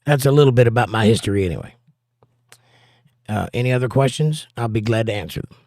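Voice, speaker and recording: A man with a hoarse, partly lost voice, hosting a casual livestream and giving plain-spoken, folksy advice while reading viewer comments. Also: medium pitch, animated, quick pace, a little mellow, no echo, studio quality. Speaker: livestream host and pastor.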